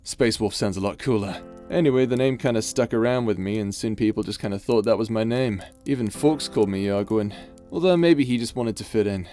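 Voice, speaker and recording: A man playing a tender, weary space pirate in an intimate ASMR roleplay, speaking softly and hesitantly over music. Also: on the low side, fairly quick, few pauses, close to an everyday voice. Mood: wistful, playful. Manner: soft, hesitant, confiding.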